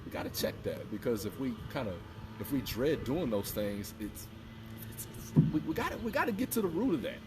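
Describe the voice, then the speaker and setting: A man's voice: mid-range voice, sing-song, brisk, far from the mic, background noise. A man in his thirties giving an earnest, repetitive motivational talk outdoors. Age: 30s. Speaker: fitness vlogger. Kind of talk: casual motivational talk to camera. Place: outdoors by water and a fountain.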